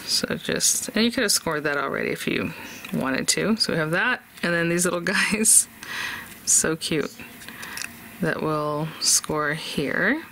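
A woman's voice: low-pitched, lively intonation, unhurried, bright, forceful tone, a little hiss or hum. A woman hosting a casual craft tutorial, chatty and upbeat, thinking aloud as she works at an indoor craft desk. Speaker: craft channel host. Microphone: overhead camera on desk, voice off-camera.

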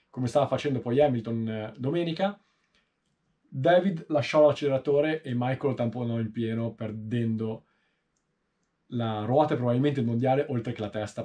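A man in his thirties from Italy giving informal, explanatory race commentary in a measured way, often pausing mid-sentence. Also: medium pitch, warm tone. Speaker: motorsport video host. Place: small indoor room, seated in a sim racing seat.

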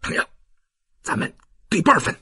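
A man's voice: moderate pace, sharp tone, dry recording, studio quality, lightly acted. A man voicing a sly, scheming escaped convict with an insinuating manner in an audiobook. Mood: sly, bargaining.

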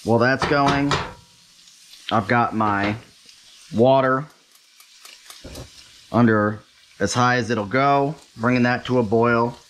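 A man's voice: mid-range voice, slow, long pauses, fairly bright tone, slight background noise. A man hosting a home cooking show, chatting casually and making wry, self-deprecating asides as he cooks. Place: home kitchen, stovetop.

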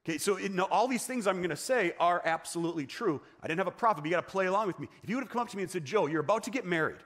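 A man in his forties speaks from a church stage as a guest preacher. His voice sits a little high, and he tells his own story in a personal, storytelling way. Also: expressive, very fast, a bit bright. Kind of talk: testimony sermon.

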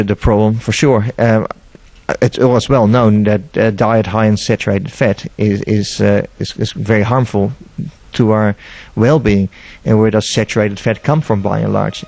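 A man's voice: on the low side, fairly even intonation, moderate pace, gravelly, a little mellow, no echo. A middle-aged man who directs an animal welfare campaign answers interview questions earnestly, indoors, into a handheld mic held by the interviewer, often repeating words as he thinks.

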